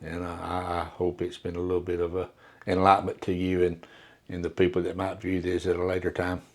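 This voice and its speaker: An older man who works as an undertaker, from Appalachia, speaking in a soft, halting way with many restarts in a reflective interview. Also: low-pitched, completely flat delivery.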